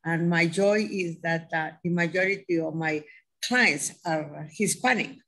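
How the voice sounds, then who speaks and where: A retired teacher in her sixties introducing herself on a video call in an unhurried way. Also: on the low side, a bit bright.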